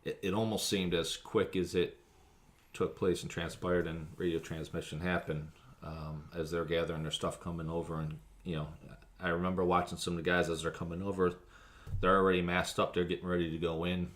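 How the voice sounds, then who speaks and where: A man speaking as a fire officer in an interview, recounting an incident in a measured, matter-of-fact way. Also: low-pitched, flat delivery, fairly bright tone.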